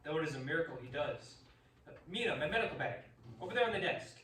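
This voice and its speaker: A man's voice: on the high side, animated, quick pace, a bit bright. A man playing an earnest, caring, shaken doctor in a radio drama, with an emphatic manner. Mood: concerned, soothing. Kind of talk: stage-style radio drama acting. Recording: echoey room, distant mic, faint noise.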